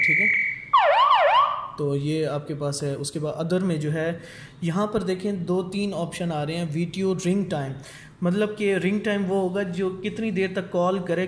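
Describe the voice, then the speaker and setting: A man's voice: fairly high pitch, fairly bright tone. A man giving a casual step-by-step technical tutorial, mixing in English terms and often checking in as he instructs. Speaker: technical trainer. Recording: echoey room with faint background noise.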